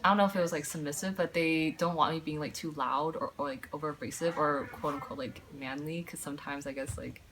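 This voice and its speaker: Young woman w a deep low voice, casual, keeps rephrasing herself. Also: fairly even intonation, fairly bright tone, a little hiss or hum, low-quality recording. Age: young adult. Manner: halting, self-correcting. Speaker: student interviewee. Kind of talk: casual interview.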